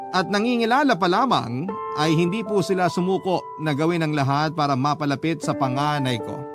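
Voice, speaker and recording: A man narrates a scripted radio story in the first person. His voice is slightly high and sentimental, measured in delivery, with music clearly playing underneath. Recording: narration over prominent background music.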